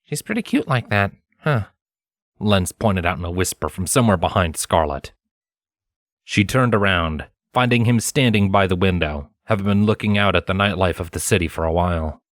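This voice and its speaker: A man's voice: sits low, normal speed, dry recording, studio quality. A man narrating fiction as a storyteller, reading from a script in a dramatized audiobook style.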